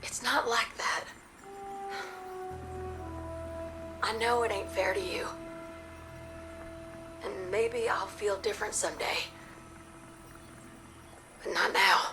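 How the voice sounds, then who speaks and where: An actress playing a plucky, plain-spoken young pilot in a sci-fi game, with a Southern American accent and a folksy, informal manner. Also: female voice, mid-range voice, fairly even intonation, a little slow, long pauses, lightly acted. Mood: hurt but firm. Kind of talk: conversational game dialogue. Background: clear background music.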